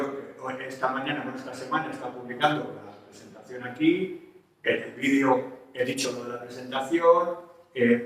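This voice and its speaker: Autor español, acento de España, narrador animado de anécdotas; toma lejana y resonante. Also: male voice, medium pitch. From Spain. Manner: lively anecdotes, acts out quoted dialogue. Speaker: author presenting his book. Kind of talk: casual group conversation, storytelling. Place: room at a book presentation. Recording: echoey room, distant mic.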